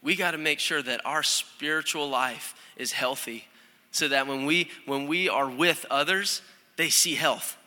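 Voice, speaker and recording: Bright, lively young pastor in a reverberant hall. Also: male voice, a little high, measured pace. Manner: conversational, energetic, with repeated restarts. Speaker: student pastor. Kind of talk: sermon. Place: church sanctuary.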